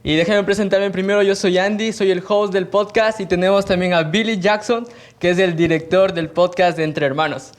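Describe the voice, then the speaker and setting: Man, podcast host, fairly high voice, friendly interviewer, laughs easily. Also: steady tone, moderate pace, few pauses.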